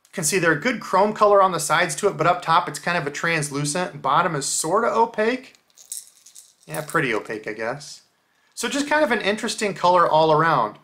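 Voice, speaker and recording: A man, hosting a casual fishing lure unboxing from a small indoor room and explaining each bait in a relaxed, chatty way. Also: fairly high pitch, slight background noise. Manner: relaxed, chatty, explains gear step by step with fillers. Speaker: fishing channel host.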